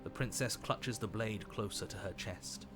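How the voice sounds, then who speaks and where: A man acting in a horror visual novel, delivering dramatic, deliberate scripted lines in literary phrasing. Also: fairly low pitch, music in the background, natural voice. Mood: grim, solemn. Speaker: game narrator. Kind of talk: dramatic scripted game narration.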